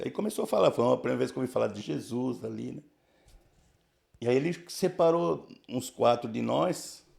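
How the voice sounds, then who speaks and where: A man in his sixties from the São region of Brazil, telling his life story casually in a halting way, full of restarts and tag questions. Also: mid-range voice, creaky, a little mellow. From Brazil, São Paulo region. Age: 60s. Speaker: church member giving testimony.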